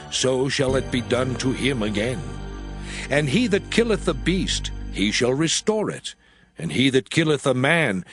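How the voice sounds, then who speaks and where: A man playing a solemn lawgiver, pronouncing divine statutes in a measured, declamatory dramatized scripture reading. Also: fairly high pitch. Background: music.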